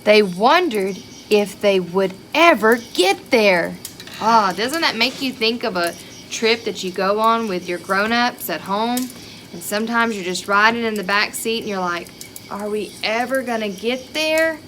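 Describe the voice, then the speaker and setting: Slow-paced woman reading to children. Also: mid-range voice, a little hiss or hum. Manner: expressive, child-directed, with rhetorical questions and exclamations. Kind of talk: read-aloud picture-book storytelling with chatty asides.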